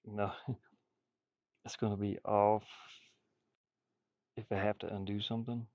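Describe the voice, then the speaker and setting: A man's voice: fairly low pitch, level tone, unhurried, no room sound, dull audio. A man streaming casually as he draws, muttering and thinking aloud in folksy, informal speech. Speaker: streamer and hobby artist. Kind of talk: casual livestream chat while drawing.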